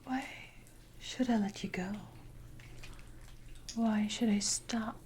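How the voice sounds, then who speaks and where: Siren, female performer: haughty sea predator for ASMR roleplay, soft dark whisper, unhurried and condescending, dripping water behind. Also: on the high side, fairly even intonation. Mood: taunting, disdainful. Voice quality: breathy, mostly unvoiced whisper. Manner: slow, deliberate, condescending, archaic phrasing. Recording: noisy ambience with water drips.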